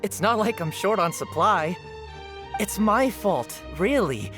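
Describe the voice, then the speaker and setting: A woman's voice: mid-range voice, expressive, slow pace, over music. A woman playing a flustered, apologetic young newspaper seller in a video game, chatty and earnest.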